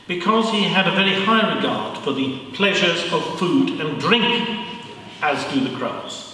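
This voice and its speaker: A man's voice: on the high side, sharp tone. English man lecturing in an echoey hall. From England. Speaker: guest speaker. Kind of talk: prepared lecture. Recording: echoey hall from far off, faint hiss.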